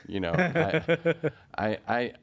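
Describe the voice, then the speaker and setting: A man's voice: fairly low pitch, big pitch swings, measured pace, vocal fry. A man hosting a podcast interview, talking casually in a rambling way with many fillers and restarts. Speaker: podcast host.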